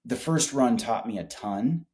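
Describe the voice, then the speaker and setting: A man's voice: medium pitch, animated, unhurried, darkish tone. A man being interviewed as a startup founder, chatting casually with colloquial, salty, emphatic storytelling. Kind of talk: casual business interview chat.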